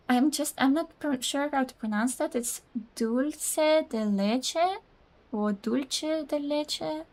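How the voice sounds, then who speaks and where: A woman's voice: high voice, takes it slowly, darkish tone. A young woman whose first language is Russian, chatting casually while streaming a game, rambling with many "like"s, reading out chat messages and laughing often.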